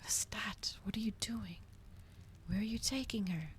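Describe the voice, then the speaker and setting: A woman voicing an anguished, reluctant male vampire with soft pleading in an ASMR story reading. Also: medium pitch, unhurried, a little hiss or hum, pitched above the performer's own voice, natural voice. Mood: alarmed.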